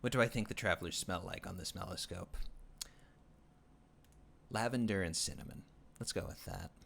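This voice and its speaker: A man hosting his own livestream, chatting casually while he plays, rambling and halting with many fillers and restarts and a dry, self-deprecating humor. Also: deep voice, vocal fry, fairly bright tone. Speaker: livestream host. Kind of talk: casual gaming stream chat.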